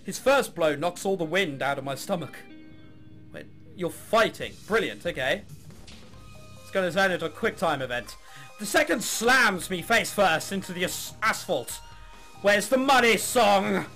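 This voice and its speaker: Animated, higher-pitched man doing sarcastic game commentary. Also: unhurried, soft background music. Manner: sarcastic, sweary. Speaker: let's play host. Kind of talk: let's play commentary, reading game text aloud.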